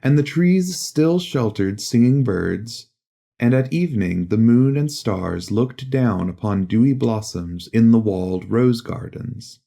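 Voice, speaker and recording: A man narrating a literary audiobook in a measured, solemn storytelling manner. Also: mid-range voice, expressive, vocal fry, dark tone.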